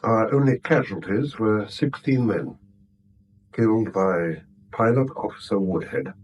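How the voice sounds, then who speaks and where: Low, grave British war veteran acted by a man. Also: measured pace, a little mellow, close to an everyday voice, low-quality recording. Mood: grim recounting. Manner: grave, clipped, stubborn. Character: principled, haunted male war veteran turned bank employee. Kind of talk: 1970s British radio drama acting. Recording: vintage radio recording with faint hiss.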